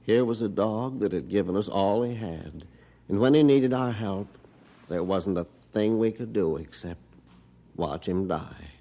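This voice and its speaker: Grieving father, radio drama, deep low voice, earnest, heartfelt. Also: lively intonation, a little slow, mellow. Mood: somber. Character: grieving middle-aged father, dog owner. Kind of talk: 1950s radio drama acting.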